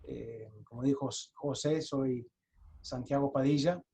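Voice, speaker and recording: A man with an American accent, a native English speaker, giving an explanatory webinar presentation in his second language over a video call, halting and often restarting his sentences. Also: medium pitch, steady tone, gravelly, mellow. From United States. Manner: halting, with frequent restarts and repeated words.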